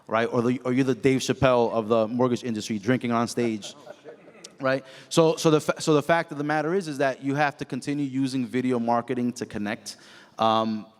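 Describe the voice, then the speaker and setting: A man in his forties, a branch manager giving casual, animated advice on a panel, tossing in "right?" often. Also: medium pitch, fairly quick, smooth. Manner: animated, peppers talk with "right?". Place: conference hall. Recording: echoey hall.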